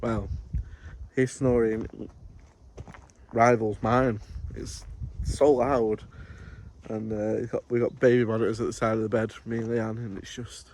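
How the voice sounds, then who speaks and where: A man's voice: lowish voice, flat delivery, measured pace, pauses often, darkish tone, a little hiss or hum, rough recording. A man giving a casual, rambling vlog update as a courier driver, in colloquial everyday speech.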